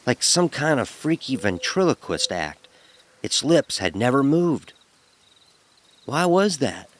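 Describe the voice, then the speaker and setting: A man telling a first-person horror story in a dramatic, expressive narrating style. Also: mid-range voice, slow, slight background noise. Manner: expressive, acts out dialogue.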